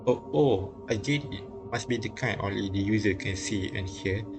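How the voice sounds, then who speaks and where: A man with a fairly low voice narrates a visual novel live. He reads haltingly and adds casual remarks, with game music clearly behind him. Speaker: streamer reading a visual novel aloud. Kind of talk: live read-aloud narration with casual comments.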